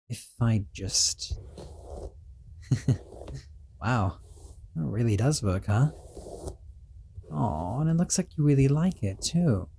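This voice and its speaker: A man playing a gentle, stammering sorcerer roommate. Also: mid-range voice. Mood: soothing. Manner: hesitant, stammering, soothing. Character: young male sorcerer roommate, gentle, nervous. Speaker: audio roleplay performer. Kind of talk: scripted audio roleplay.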